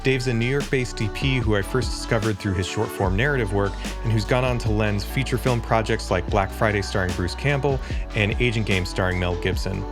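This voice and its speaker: A man hosting a podcast interview, speaking in a relaxed, conversational way from the United States, close to the mic. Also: fairly low pitch. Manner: relaxed, conversational, a few restarts. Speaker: podcast host. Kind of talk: casual interview.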